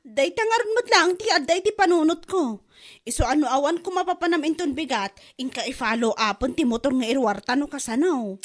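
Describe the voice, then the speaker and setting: Woman acting very high-pitched Ilocano village wife. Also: expressive, brisk. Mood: scheming. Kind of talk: Ilocano audio comedy drama acting.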